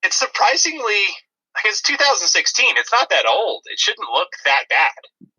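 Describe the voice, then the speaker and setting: Very bright-voiced man hosting a podcast. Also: high voice, sing-song, normal speed, slightly rough, low-quality recording. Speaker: podcast co-host. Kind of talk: movie review podcast chat.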